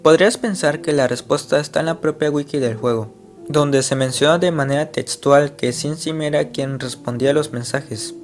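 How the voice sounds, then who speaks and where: A man's voice: a little high, fairly even intonation, moderate pace. A man narrating an internet mystery video in a scripted, explanatory way, asking the viewer rhetorical questions. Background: faint guitar music.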